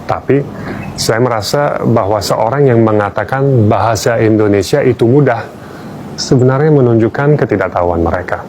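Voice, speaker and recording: A man's voice: mid-range voice, normal speed, a little mellow, a little hiss or hum. A man from Australia, a native English speaker, giving a wry, playful public talk as a language teacher.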